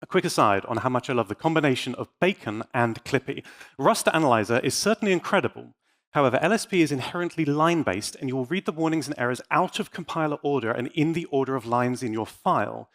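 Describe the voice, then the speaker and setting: A man's voice: mid-range voice, a bit bright. A man delivering a prepared technical conference talk, speaking directly to his audience in a playful, wry manner. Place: conference stage.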